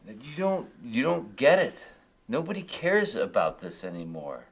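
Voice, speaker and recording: An actor playing a drunk, grieving widower who rambles and stammers bitterly in a film drama. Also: male voice, medium pitch, wildly swooping pitch, unhurried. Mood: defensive, bitter. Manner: rambling, combative, stammering venting. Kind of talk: naturalistic film drama dialogue.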